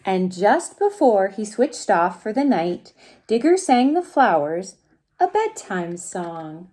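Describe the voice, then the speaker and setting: A woman reading a picture book aloud to young children in an expressive, gentle way, pausing to ask them questions. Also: medium pitch. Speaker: preschool story time reader.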